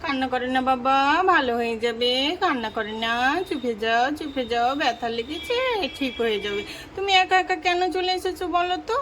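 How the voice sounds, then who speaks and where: A woman's voice: very high-pitched, monotone, fast talker, hardly any pauses, fairly bright tone, a little hiss or hum. A woman playing a worried young mother who coaxes her small son tenderly. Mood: tender, coaxing. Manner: tender, coaxing, promising treats.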